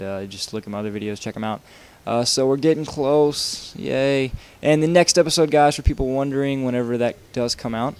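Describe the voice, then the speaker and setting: A man's voice: mid-range voice, darkish tone, clear, resonant tone. A young man talking casually over his gameplay while showing how to build a track, rambling with lots of fillers and restarts. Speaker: video game tutorial maker.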